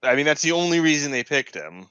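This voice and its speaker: A man's voice: a little high, very animated, fast talker, bright, forceful tone, clean tone, dry recording, dull audio. A man co-hosting a podcast, giving his opinions in casual chat. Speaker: podcast co-host.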